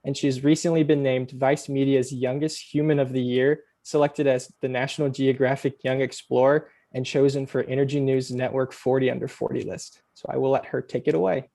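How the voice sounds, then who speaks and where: A man introduces a conference speaker in a flat, monotone delivery. His tone is mellow and dark, and his voice sounds dry, with no room around it. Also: medium pitch, brisk, gravelly, few pauses, no room sound. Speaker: conference host.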